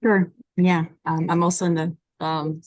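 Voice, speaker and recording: A woman's voice: lowish voice, little pitch movement, a little slow, a little mellow, no room sound. A woman asking a question on a video call from a cafe, tentative and hesitant. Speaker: audience member asking a question.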